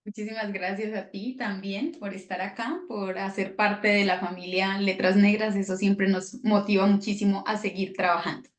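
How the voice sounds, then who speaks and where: A woman's voice: medium pitch, flat delivery, moderate pace, few pauses, echoey room. A woman hosting an online book presentation, chatting effusively with the authors. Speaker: host.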